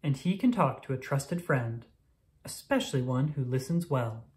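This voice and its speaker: Low-pitched, lively woman reading a storybook. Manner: expressive, deliberate storytelling for young children. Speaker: children's book reader. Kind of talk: scripted read-aloud narration of a picture book.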